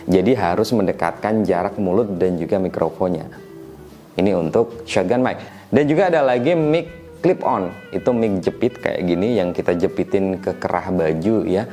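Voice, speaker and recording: Deep-voiced man, stuffy and nasal. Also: normal speed, faint music behind. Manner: relaxed, chatty, frequent tag questions. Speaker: tech reviewer teaching mic setup. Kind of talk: casual explanatory tutorial.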